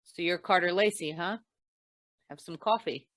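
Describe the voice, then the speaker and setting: A woman playing a deadpan, hard-boiled female investigator in an old-time radio crime noir, with dry, world-weary delivery. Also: on the low side, normal speed, no echo, lightly acted. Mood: cool, probing. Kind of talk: 1940s radio noir narration and dialogue.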